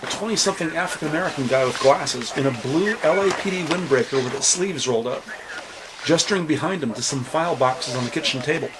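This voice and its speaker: A man's voice: on the high side, fast, background noise. A man narrating a scripted crime audio drama in the present tense.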